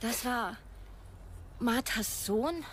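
An inquisitive woman in her thirties, speaks in a fairly high voice. She is eager yet hesitant in her questioning, in a radio drama scene with faint noise around her. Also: lively intonation, extremely slow. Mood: astonished, probing. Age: 30s.

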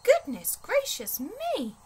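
A woman's voice: very high-pitched, wildly swooping pitch, slow pace, slight background noise, pitched above the performer's own voice, exaggerated voice. A woman voicing an anxious, kindly young male bear in a storybook, exclaiming in dismay. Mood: astonished dismay.

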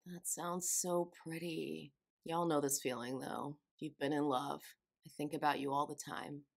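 A woman's voice: low voice, little pitch movement, unhurried, vocal fry. A woman in her thirties hosting a music reaction channel, musing casually and thinking aloud with small restarts, recorded in a small room. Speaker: K-pop reaction channel host. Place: small room with lit shelves of collectibles. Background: faint music video audio.

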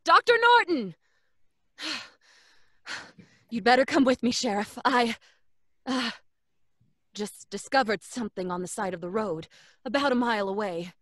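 An actress playing a grave, guarded doctor in an old-time radio drama, measured and hesitant. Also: female voice, a little high, sing-song, no room sound, studio quality. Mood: urgent. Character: grave, guarded woman doctor bearing grim news. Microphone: large studio condenser microphone.

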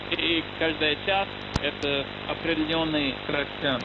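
A young man chats as a guest in an interview. His voice sits fairly high for a man. The sound is dull shortwave radio, thick with hiss. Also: little pitch movement, measured pace. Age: young adult. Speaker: interview guest, literary translator. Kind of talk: conversational interview.

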